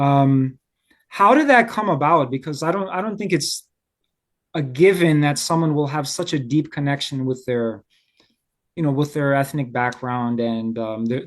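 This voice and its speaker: A man hosting a casual interview, putting questions in a tentative, meandering, conversational way. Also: fairly high pitch. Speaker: interviewer, host.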